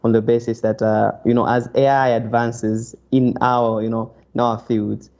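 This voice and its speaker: A man's voice: medium pitch, flat delivery, moderate pace, vocal fry, a little mellow. A man giving a casual, conversational answer as a panelist, peppered with filler phrases. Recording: dull lo-fi audio.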